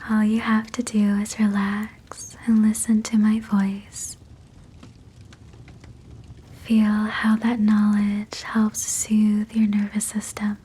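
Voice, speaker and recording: A woman guiding a sleep meditation in a breathy whisper, soothing and gentle, with measured phrasing. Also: medium pitch, monotone, low-quality recording. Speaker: sleep hypnosis guide.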